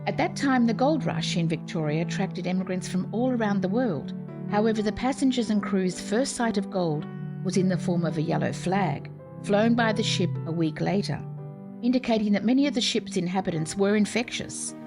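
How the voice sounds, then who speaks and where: A woman giving clear, even, scripted narration for a series of historical radio plays. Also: medium pitch, moderate pace. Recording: music playing behind. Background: music.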